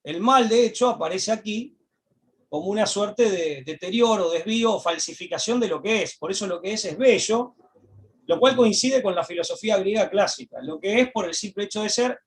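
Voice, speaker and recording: A man with an Argentine accent, a guest in an interview, speaking at length in a discursive, reflective way, repeating his key phrases and pausing to find words. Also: sits high, far from the mic, a little hiss or hum. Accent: Argentine Spanish. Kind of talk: reflective political commentary.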